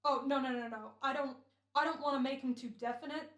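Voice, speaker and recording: A woman playing a brisk, fussy male radio director in an old-time drama, bossy and thinking aloud. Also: high voice, steady tone, fairly bright tone. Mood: businesslike, fussy. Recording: echoing room, home recording.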